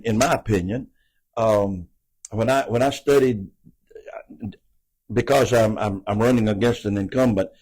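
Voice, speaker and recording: An older man in his eighties talks in a low, earnest voice. He sounds folksy and plain. He often restarts and repeats words while he works out his point. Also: darkish tone, breathy edge, low-quality recording. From American South. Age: 80s. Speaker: city council candidate. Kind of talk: interview answers.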